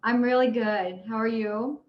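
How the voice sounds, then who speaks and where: A woman's voice: a little high, fairly even intonation, a little slow. Woman, life coach guest, earnest, conversational, muffled lo-fi video-call sound. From Houston, Texas, United States. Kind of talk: conversational interview answers.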